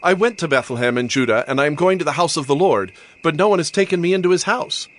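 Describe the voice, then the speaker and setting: Actor as a high-voiced, earnest traveling master. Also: male voice, quick pace, natural voice. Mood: weary, explaining. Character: resolute traveling Levite, master of a servant. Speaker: actor in dramatized scripture. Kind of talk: dramatized audio Bible acting. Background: background music.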